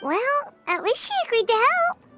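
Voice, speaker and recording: An actress voicing a tiny, cheeky, childlike fairy companion in a video game. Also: female voice, very high-pitched, sing-song, moderate pace, loud music behind the voice. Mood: relieved.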